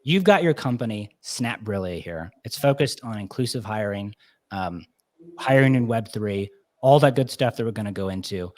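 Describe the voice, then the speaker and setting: A man's voice: on the low side, animated, moderate pace, darkish tone. A man hosting a podcast, chatty and enthusiastic, with many "like" fillers. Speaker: podcast host. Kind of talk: casual interview talk.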